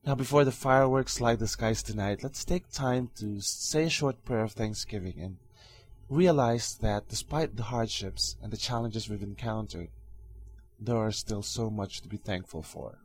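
A man hosting his own podcast, talking calmly and earnestly in a reflective solo monologue with soft fillers. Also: on the low side, normal speed, a little hiss or hum. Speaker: podcast host.

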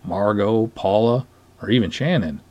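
A man's voice: fairly low pitch, unhurried, a little mellow, no echo, highs cut off, close to an everyday voice. A man voicing a verbose, dignified, lovestruck lake patrol cop in a dramatic story reading, with pompous, florid phrasing. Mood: wistful.

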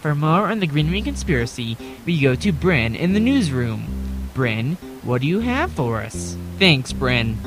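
A man acting as an upbeat evening news anchor with a fairly high male voice and animated intonation. He speaks formally, as in a mock broadcast, with music playing behind him. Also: a little slow.

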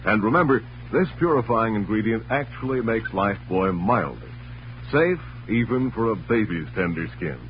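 A man's voice: on the low side, expressive, normal speed, a little hiss or hum. A man working as an emphatic, dramatic announcer, reading narration and sponsor copy in 1940s radio style. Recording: muffled, humming old radio recording.

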